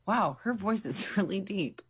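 A woman's voice: medium pitch, lively intonation, measured pace, husky. A young woman co-hosting a radio morning show, giggly and teasing in casual banter. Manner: giggly, self-deprecating, teasing. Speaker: radio co-host. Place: radio studio.

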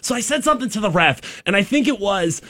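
A man in his twenties, co-hosting a radio show, telling a story in a loud, eager way. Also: high voice, expressive, fast, dry recording, clean studio sound. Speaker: radio co-host. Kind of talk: casual radio banter.

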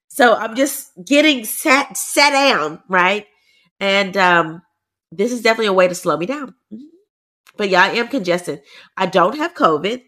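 A woman with a stuffy, congested voice, co-hosting a casual podcast chat and explaining things plainly. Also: mid-range voice. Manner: animated, explains medical terms plainly. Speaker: podcast co-host.

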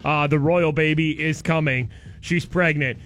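A high, bright male voice with a sore, scratchy throat, talking fast and excitedly as a morning radio co-host. He jokes and teases in loose, sarcastic banter, and keeps going while his voice gives out.

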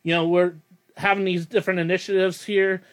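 A man's voice: high voice, little pitch movement, a little fast. A young man hosting a casual podcast, rambling and self-deprecating, laughing often. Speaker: podcast host.

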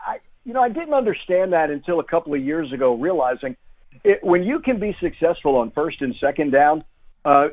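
A narrow, phone-like connection carries a man's somewhat high voice. He is a sports broadcaster giving analysis as a guest on talk radio. His delivery is conversational and emphatic, often repeating a phrase to make the point. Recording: tinny, phone-like guest line.

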